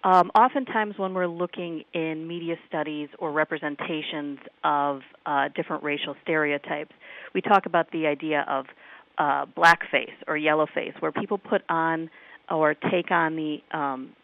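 A woman's voice: sits low, steady tone, moderate pace, no echo. A woman professor with a Midwestern accent, answering radio interview questions in a thoughtful academic way, with many ums. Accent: Midwestern American. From United States. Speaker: professor guest on call-in radio. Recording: tinny phone line.

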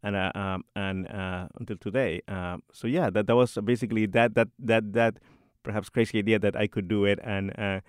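A middle-aged man with a lowish voice, talking as a composer in a radio interview. He stumbles and restarts often, repeating little words as he searches for them. The sound is dry and close. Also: fairly even intonation, normal speed, clean tone, dry recording. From Puerto Rico. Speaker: composer and church organist.

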